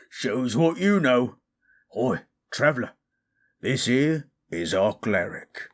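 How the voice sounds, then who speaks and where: A man voicing a gruff, good-humoured male orc veteran in improvised fantasy audio, blunt, colloquial and teasing. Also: medium pitch, sing-song, slow, full character voice. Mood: amused, boastful.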